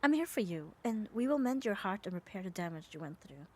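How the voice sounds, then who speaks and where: Girl voicing strong protective yandere gf for asmr, soft soothing and lovey, slips into whispers, noticeable noise behind her. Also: medium pitch, big pitch swings, fairly quick, lightly acted. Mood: tender, reassuring. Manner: tender, soothing, often drops to a whisper. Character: muscular, devoted, gently dominant yandere girlfriend. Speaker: ASMR voice performer. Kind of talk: ASMR roleplay, soft intimate acting.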